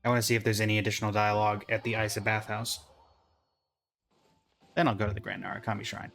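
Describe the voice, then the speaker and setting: A man streaming a game with casual, wry, low-key commentary. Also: little pitch movement, quick pace, vocal fry, fairly bright tone. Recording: faint game music behind.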